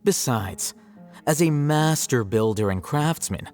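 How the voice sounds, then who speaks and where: A man's voice: mid-range voice, expressive, a little mellow, soft background music. An actor playing an aloof, smug young male scholar in a video game, with a dry, needling manner. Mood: sardonic. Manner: dry, sardonic, unhurried needling.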